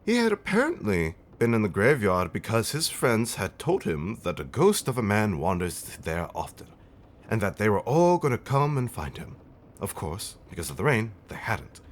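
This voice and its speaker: Man playing centuries-old vampire husband, low voice, very animated intonation, theatrical, affectionate, teasing, old-fashioned wording, ASMR roleplay storytelling. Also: a little hiss or hum.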